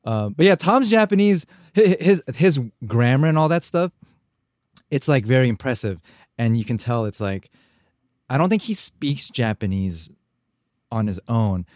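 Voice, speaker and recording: A man's voice: medium pitch, expressive, measured pace, darkish tone, no echo, studio quality. A man co-hosting a podcast, chatting casually about a TV show and readily agreeing and laughing along. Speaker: podcast co-host.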